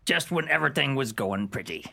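A sly, bloodthirsty pirate henchman, raspy and creaky, swooping through his lines. He lays on false politeness in rough seadog dialect for a comic radio play. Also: mid-range voice, sing-song, fairly quick, sharp tone, heavily acted. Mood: grumbling.

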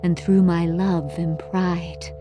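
A woman narrating sci-fi horror in the first person as a lovelorn, obsessive scientist, with a measured, intense delivery. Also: lowish voice, level tone, dark tone, faint music behind. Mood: proud, defiant. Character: lovelorn, obsessive female scientist devoted to a monstrous lover. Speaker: storyteller. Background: musical score.

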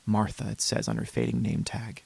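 A man voicing a fussy, polite, unsettled psychiatric patient in a measured reading of a first-person horror story. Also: on the low side, very animated, gravelly, darkish tone, husky, dry recording, heavily acted. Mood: uneasy, disdainful.

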